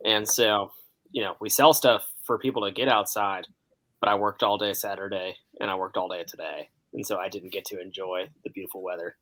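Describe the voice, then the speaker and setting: A man's voice: low voice, fairly even intonation, a little fast, sharp tone, rough recording. A man co-hosting a sports podcast in a casual, conversational style.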